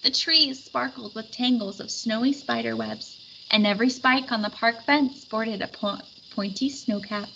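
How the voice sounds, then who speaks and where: A woman reading a children's picture book aloud with expressive storytelling. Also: fairly high pitch, moderate pace, creaky, lo-fi sound, slight background noise. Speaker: storybook reader.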